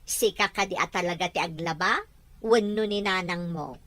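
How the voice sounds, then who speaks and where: A woman's voice: lowish voice, moderate pace, fairly bright tone, slight background noise, close to an everyday voice. A woman playing a nosy, outspoken village mother in a radio drama, probing and forthright, with an Ilocano accent. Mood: gossipy.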